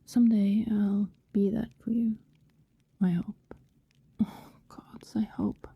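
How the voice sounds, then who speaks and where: A woman playing an affectionate, wistful vampire neighbour in an intimate ASMR roleplay, speaking softly and tenderly, often in a hesitant whisper. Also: medium pitch, steady tone, no room sound, phone-quality sound, full character voice. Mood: wistful, protective. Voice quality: soft, breathy, often whispered. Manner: slow, tender, hesitant murmuring.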